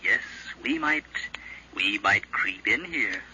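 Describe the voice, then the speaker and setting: A man's voice: on the low side, lively intonation. An actor playing a sinister voice inside a madman's head, insinuating and goading, in a noisy 1950s radio drama recording. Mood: scheming. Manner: slow, insinuating, echoing others' words.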